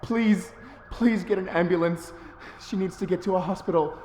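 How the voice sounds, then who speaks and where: An actor playing a devoted, defiant lover in an emotional cyberpunk audio drama. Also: male voice, high voice, moderate pace, a little mellow, slight background noise, natural voice. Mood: pleading.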